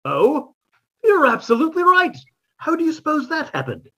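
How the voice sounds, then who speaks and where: A man's voice: very high-pitched, wildly swooping pitch, moderate pace, a bit bright, heavily acted. A man playing a blustering, dismissive illicit lover in an old-time radio comedy mystery. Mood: baffled, arch. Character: blustering, dismissive male lover in murder farce.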